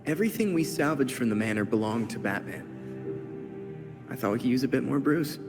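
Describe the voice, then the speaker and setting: A man's voice: medium pitch, lively intonation, a little fast, lightly acted. A man playing an earnest, brainy teenage boy vigilante in a game cutscene, reassuring in manner. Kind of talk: video game cutscene acting. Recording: reverberant hall, music behind.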